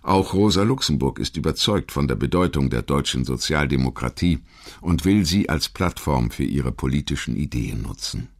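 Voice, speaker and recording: A man reading scripted biographical narration as a documentary narrator, in a measured, articulate manner. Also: very deep voice, animated.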